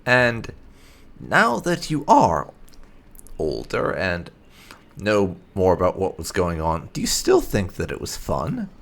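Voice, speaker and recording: A man hosting a game playthrough, musing deliberately as he roleplays and reads out his character's dialogue choices. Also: low-pitched, wildly swooping pitch, creaky, a bit bright. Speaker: gaming channel host, player.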